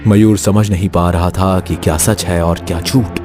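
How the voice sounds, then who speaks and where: A man with a low-pitched voice narrates a scripted horror tale as a storyteller. Music plays clearly behind his voice. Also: fairly even intonation, normal speed.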